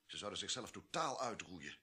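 An actor playing an authoritative, unsentimental government official in a Dutch radio drama, explaining grim secrets emphatically. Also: male voice, low voice, very animated, normal speed, bright, forceful tone, breathy edge, full character voice. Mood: grim, emphatic.

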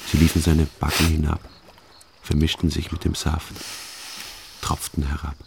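Radio drama narrator: detached, ruminating man, very low and creaky. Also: monotone, darkish tone, slight background noise. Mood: detached, observing. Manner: sober, introspective, deliberate. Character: brooding, detached first-person male narrator, coldly ruminating. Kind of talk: scripted first-person radio drama narration.

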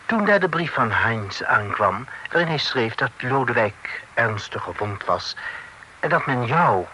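An actor playing a talkative, worldly gentleman envoy in a period radio drama, speaking formally and floridly with French phrases sprinkled in. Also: medium pitch, sing-song, unhurried. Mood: earnest narrating. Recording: noisy old radio play recording.

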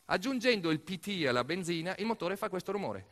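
A man narrating a scripted theatrical monologue, telling the story in an emphatic, expressive way. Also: high-pitched, brisk. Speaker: actor-narrator of a solo theatre piece.

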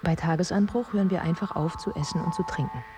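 An actress playing a thoughtful young woman, narrating in a measured first-person radio drama style. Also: fairly low pitch, heavy background noise, close to an everyday voice. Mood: reflective. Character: thoughtful young Baghdad woman recounting wartime life.

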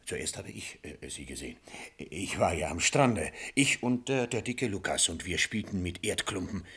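An actor playing a simple, boastful, good-natured peasant in a comic radio play, telling his tale in a halting, exclaiming, rambling way. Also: sits low, lively intonation, fairly bright tone, slightly rough, heavily acted. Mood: halting, earnest. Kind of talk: German radio play acting of classic comedy.

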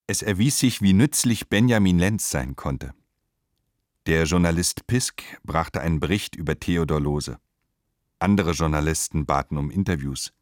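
Very deep-voiced man narrating, dry studio sound. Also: normal speed, no echo. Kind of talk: scripted literary narration for a radio play.

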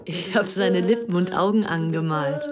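A woman's voice: fairly low pitch, normal speed, over a noisy crowd, lightly acted. An actress playing an infatuated young British woman devoted to a dictator, adoring and earnest, in a radio drama. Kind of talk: radio drama, reading personal letters.

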